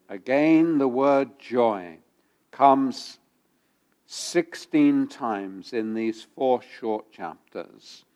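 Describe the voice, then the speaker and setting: A man preaching a sermon while he has a head cold, his voice gravelly, pausing often with ums and uhs and repeating phrases for emphasis. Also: mid-range voice, darkish tone. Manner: halting, many ums and uhs. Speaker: pastor.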